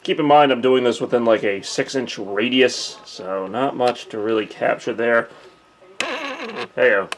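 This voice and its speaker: A young man casually hosting a live holiday stream from a decorated room at home, joking and thinking out loud with lots of fillers, recorded on a camcorder mic. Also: medium pitch, very animated, measured pace. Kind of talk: casual live-stream chat reading viewer comments.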